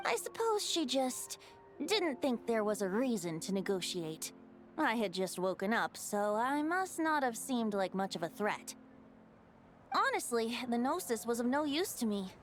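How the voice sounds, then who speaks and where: A woman voicing a carefree young bard deity in a video game, with a playful, wry, theatrical delivery. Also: a little high. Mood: candid, serious. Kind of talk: scripted video game voice acting.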